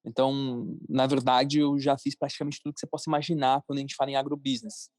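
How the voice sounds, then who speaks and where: A man's voice: medium pitch, level tone, quick pace, darkish tone, dry recording, clean studio sound. A man with a Brazilian accent answering interview questions in an informal, explanatory conversational way. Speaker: podcast guest, cartoon creator and entrepreneur.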